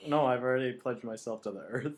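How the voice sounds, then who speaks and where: A woman co-hosting a podcast with casual, irreverent banter, sweary and easily excited in her reactions. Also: very deep voice, fast talker, a little hiss or hum. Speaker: podcast co-host.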